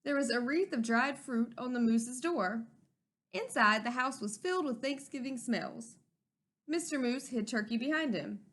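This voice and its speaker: A woman with a high voice narrates a picture book for children. Her pitch moves around a great deal, giving an expressive, teacherly read-aloud. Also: normal speed.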